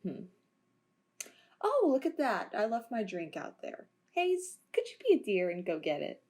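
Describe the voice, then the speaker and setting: A woman's voice: mid-range voice, sing-song, measured pace, darkish tone. A woman playing a sly policewoman who talks in a sly, teasing way in an amateur audio drama.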